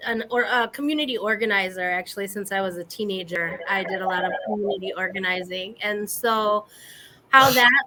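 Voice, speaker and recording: A woman from the US Midwest whose first language is Spanish, speaking as a guest on a video call panel in a reflective, earnest way with frequent pauses. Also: mid-range voice, sharp tone, a little hiss or hum, rough recording. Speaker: guest panelist, community organizer. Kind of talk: casual panel chat.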